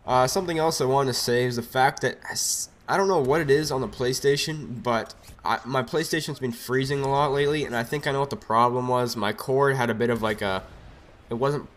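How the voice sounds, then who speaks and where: A young man talks casually over his gameplay, his voice a little raspy from a cold and padded with fillers as he rambles on. His pitch sits in an ordinary middle range. A faint hiss runs under his desk microphone. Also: a bit bright. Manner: rambling, chatty, lots of fillers. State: has a cold. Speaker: gaming commentator. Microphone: desk USB microphone.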